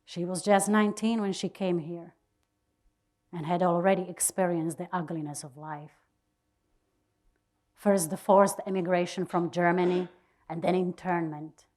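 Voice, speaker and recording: A woman giving a dramatic reading of a diary, deliberate and expressive, with pauses. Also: on the low side. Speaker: reader of a diary.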